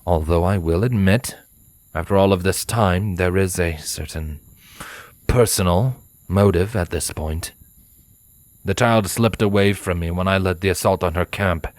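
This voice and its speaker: A man voicing a cold, proud male pony assassin with a haughty, measured, formal way of speaking. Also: sits low, dry recording. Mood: cold, dismissive.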